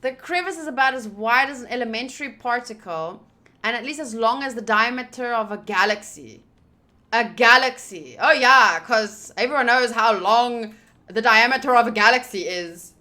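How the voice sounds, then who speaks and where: This is a woman playing through a visual novel for viewers. Her voice sits fairly high and has a bright, forward edge. She reads the text with expression and slips into informal, wry commentary. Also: moderate pace. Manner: expressive reading, breaking off into wry informal asides. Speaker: gaming video host. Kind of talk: visual novel playthrough, reading text aloud with casual commentary.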